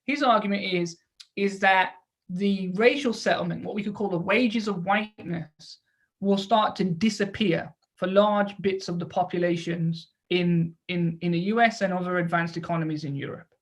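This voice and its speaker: A woman's voice: fairly low pitch, steady tone, normal speed. A woman lecturing to an audience in an explanatory way, posing rhetorical questions and adding short check-in tags as she goes.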